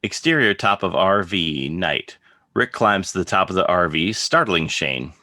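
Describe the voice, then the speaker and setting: A man with a husky voice narrating scripted scene directions for a dramatic table read. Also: sits low, lively intonation, unhurried, sharp tone, no room sound, studio quality. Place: remote video call read. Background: music under the voice.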